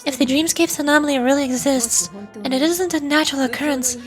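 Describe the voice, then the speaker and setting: A composed, shrewd woman leader, acted by a female player, reasons in a high, deliberate voice. The video game dialogue sits over clearly audible music. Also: lightly acted. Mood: serious, wary. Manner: analytical, deliberate, polite. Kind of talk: live read-aloud of video game dialogue.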